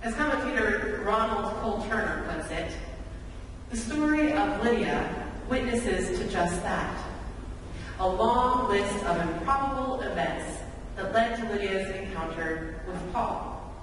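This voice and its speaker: A woman's voice: medium pitch, expressive, unhurried. Woman preaching in a very echoey church. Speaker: pastor. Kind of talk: sermon. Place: large church sanctuary, at the pulpit. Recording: echoey church hall, distant mic, background noise.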